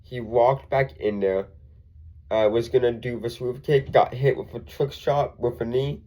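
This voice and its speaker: A man's voice: mid-range voice, fairly even intonation, a little slow, mellow, low-quality recording. A young man with a congested voice, rambling through a casual recap full of ums and self-corrections. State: congested from a cold. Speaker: wrestling show reviewer.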